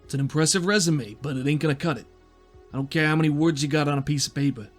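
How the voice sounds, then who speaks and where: A man voicing a gruff, short, mechanical inquisitor officer with blunt, folksy tough-guy talk in a tabletop roleplaying game. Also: fairly high pitch, fast, faint music behind, voice pushed up, natural voice. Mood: stern.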